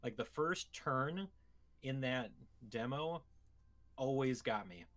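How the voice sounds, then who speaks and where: A man's voice: mid-range voice, animated, slow, a bit bright. Man chatting casually about video games. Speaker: co-host of a gaming show.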